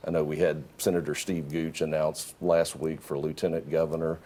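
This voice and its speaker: A man on a political panel, giving measured, thoughtful analysis. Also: a bass voice, level tone, mellow. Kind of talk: panel discussion.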